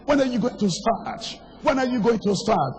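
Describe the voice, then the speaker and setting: An older man, a pastor with a strained, hoarse voice, preaching an impassioned sermon with repeated phrases and pointed questions. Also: extremely high pitch, expressive, normal speed, a little mellow. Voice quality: strained, worn voice. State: hoarse, voice going. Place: large hall, preaching to a congregation. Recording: long echoing reverb of a large hall.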